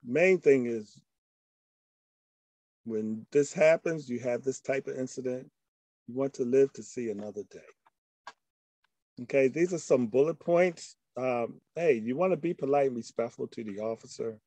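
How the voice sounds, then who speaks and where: A man's voice: medium pitch, normal speed, long pauses, a little mellow, dry recording. An older man giving a slide presentation to a discussion group over a video call. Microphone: video call microphone.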